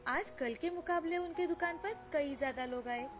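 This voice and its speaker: A woman narrates a scripted story for children. Her voice is high-pitched, and soft music plays behind her storytelling. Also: normal speed.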